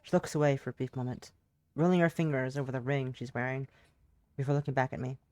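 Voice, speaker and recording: Woman doing a soft-spoken Russian-accented woman. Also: extremely low pitch, fairly even intonation, quick pace, no room sound, voice pushed down. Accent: attempted Russian. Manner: soft, hesitant, broken phrasing. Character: shy quiet woman with a put-on Russian accent.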